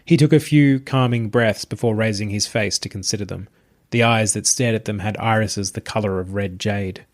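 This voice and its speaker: A man's voice: fairly low pitch, moderate pace. A man narrating a scripted short story as its reader.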